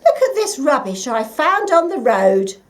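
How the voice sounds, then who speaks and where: A woman's voice: high-pitched, sing-song, unhurried, pitched above the performer's own voice. A woman voicing a fussy, houseproud uncle fox in a children's storybook, with exclamatory delivery. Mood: irritated.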